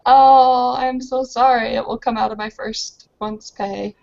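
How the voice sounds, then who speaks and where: Woman playing a dramatic, high-voiced battered young woman. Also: steady tone, unhurried, creaky, darkish tone, dull audio. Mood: apologetic. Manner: breathless exclamations, theatrical gasps.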